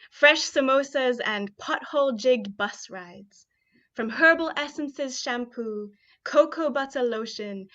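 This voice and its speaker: A woman's voice: high voice, a bit bright. A young woman from Kenya hosting an online poetry showcase and reading her own spoken-word poem, chatty and upbeat with fillers between remarks. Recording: dull, muffled live-stream audio.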